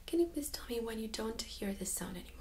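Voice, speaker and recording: Casting: young woman, soft higher voice, whispered ASMR examiner, gentle instructions, some room noise. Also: lively intonation, quick pace. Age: young adult. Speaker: ASMR creator playing a medical examiner. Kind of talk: ASMR medical role-play. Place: small indoor room set up as exam room.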